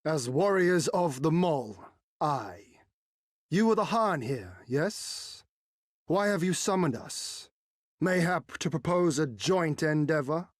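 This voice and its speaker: An actor playing a composed foreign warrior in a video game, guarded and diplomatic, with formal, old-fashioned speech. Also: male voice, a little high, animated, no room sound, studio quality. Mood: guarded, probing. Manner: measured, formal, archaic speech. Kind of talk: video game cutscene acting.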